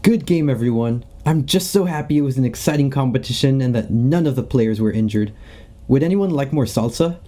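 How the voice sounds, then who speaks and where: A man's voice: mid-range voice, lively intonation, fairly quick, warm tone, lightly acted. A young man playing a naive, polite, earnest suspect in a comedy radio play. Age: young adult. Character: mild, naive, polite nice-guy male suspect.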